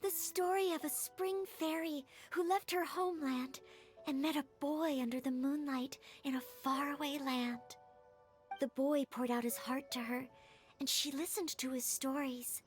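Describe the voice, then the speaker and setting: High-pitched, earnest young storyteller. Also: female voice. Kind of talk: video game voice acting. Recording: music behind the voice.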